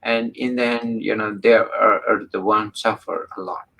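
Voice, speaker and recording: A man hosting an interview, speaking slowly and haltingly with many fillers. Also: on the low side, fairly even intonation, gravelly, fairly bright tone, poor audio. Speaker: interview host. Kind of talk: casual interview talk.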